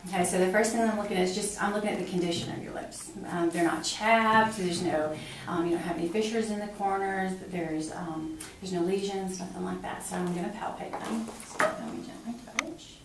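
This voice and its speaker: A woman's voice: on the low side, fairly even intonation, fairly quick. Woman examiner in a very echoey room. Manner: polite, step-by-step instructing. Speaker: clinical examiner demonstrating a physical exam. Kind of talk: instructional exam talk, explaining each step to a patient. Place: small cinder-block exam room, indoors. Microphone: camera mic from across the room. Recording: very echoey room, distant camera mic, noisy.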